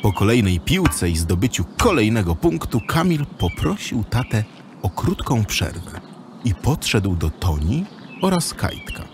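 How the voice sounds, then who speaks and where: A man's voice: on the low side, very animated, unhurried, noisy background. A man narrating a children's audio drama in a scripted storybook style.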